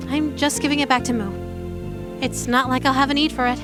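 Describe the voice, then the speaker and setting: A woman voicing a gentle, wistful young eldritch monster girl in a visual novel game, speaking softly and in measured phrases. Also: high voice, steady tone, close to an everyday voice. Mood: gentle, bittersweet. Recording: guitar music loud behind voice.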